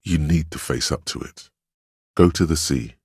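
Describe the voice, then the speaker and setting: A man playing the inner voice of willpower in a game, a stoic, protective conscience who speaks in a measured, firm and reassuring way with a deep gravelly husk. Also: darkish tone, no echo, clean studio sound. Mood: stern. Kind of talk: dramatic game voice acting.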